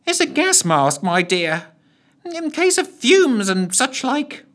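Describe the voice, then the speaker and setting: A man doing a fussy, stammering old gentleman. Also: very high-pitched, sing-song, slow pace, voice pushed up, exaggerated voice. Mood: patronising. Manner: hesitant, stammering.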